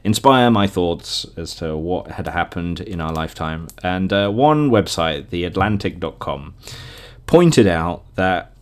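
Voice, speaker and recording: British male podcast host, 30s, fairly low voice, chatty and rambling solo delivery. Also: expressive, a little slow. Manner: rambling, chatty, self-interrupting. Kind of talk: casual solo podcast chat.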